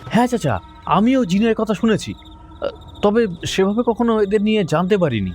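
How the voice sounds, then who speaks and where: High-voiced, genial villager. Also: male voice, big pitch swings, moderate pace, a little mellow, faint music behind. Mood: curious. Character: friendly, welcoming village tea-shop regular. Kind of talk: audio story acting.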